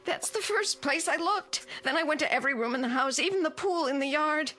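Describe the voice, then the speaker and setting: An actress playing a polite, uneasy housemaid in an old television drama, deferential and fearful. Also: female voice, sits high, animated, fairly quick, sharp tone. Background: faint music.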